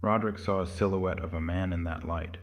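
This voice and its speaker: A man narrates a scripted horror audio tale. His voice is very low and stays level and flat, carrying the story in a steady line. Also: fairly quick.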